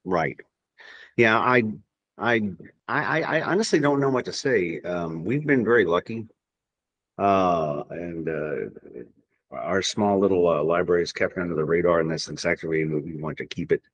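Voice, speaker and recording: A man's voice: low voice, lively intonation, normal speed, fairly bright tone, highs cut off. A man from Tennessee, a podcast guest talking in a rambling, hesitant way, full of restarts and fillers. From Tennessee, USA. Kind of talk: casual podcast chat.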